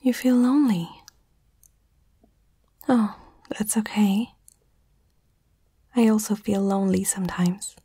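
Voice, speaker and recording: A woman whispering softly and tenderly in a breathy voice, in a reassuring ASMR comfort roleplay, close to the microphone. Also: mid-range voice, takes it slowly, long pauses, dry recording.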